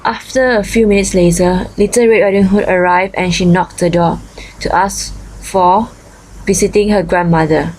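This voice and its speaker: A woman narrating a children's story in a halting manner, with unidiomatic phrasing. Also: on the low side, fairly even intonation, a little mellow, poor audio.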